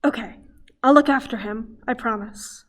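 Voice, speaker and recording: A woman playing an earnest, reproachful young intern in a radio play, expressive and pleading. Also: on the high side, moderate pace, lightly acted. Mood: resigned.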